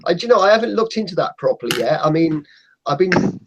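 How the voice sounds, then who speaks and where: A passionate man from Portugal speaking up on a casual video call. His voice sits a little higher than most men's and he leans hard into his points. A faint hiss and stray clicks come through his home mic. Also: very animated, fast. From Portugal (Madeira). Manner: emphatic, passionate, says mate. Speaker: guest on an online hangout. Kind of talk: casual group chat.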